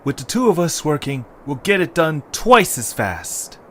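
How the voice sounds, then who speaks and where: A man's voice: a little high, sing-song, moderate pace, pushed and bright. A man voicing a loyal, reassuring young adventurer in an audio drama. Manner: friendly, reassuring. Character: loyal, easygoing, helpful young male adventurer. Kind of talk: cartoonish audio drama acting. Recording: faint background noise.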